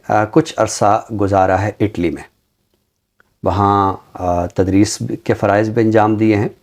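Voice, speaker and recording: A middle-aged man with a Pakistani accent speaks formally and deliberately about literature, repeating phrases for emphasis. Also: fairly low pitch, darkish tone. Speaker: literary show presenter and book reviewer. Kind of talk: measured literary talk with poetry recitation.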